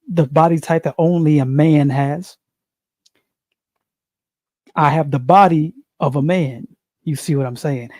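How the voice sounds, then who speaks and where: Guy doing a slow preachy spiritual talk, kinda high but mellow voice, dry close sound. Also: dry recording. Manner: slow, preachy, repeats phrases, frequent "you see". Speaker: spiritual commentator. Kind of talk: unscripted monologue on philosophy.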